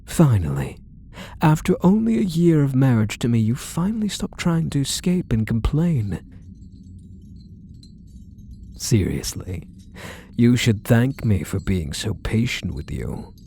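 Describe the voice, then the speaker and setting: A possessive yandere husband, acted by a man, murmurs in an intimate ASMR roleplay with doting, quietly menacing condescension. His voice is mellow and creaky, with pitch that rises and dips widely. He coaxes softly and lets his endearments linger. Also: big pitch swings, a little slow, slight background noise. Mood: patronising, controlling. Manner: soft, coaxing, condescending endearments, lingering phrases.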